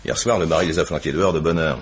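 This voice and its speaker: Fast-talking, deep-voiced police inspector. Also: male voice, slight background noise. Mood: matter-of-fact. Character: matter-of-fact police inspector reporting to his boss. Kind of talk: 1950s-style French radio mystery acting.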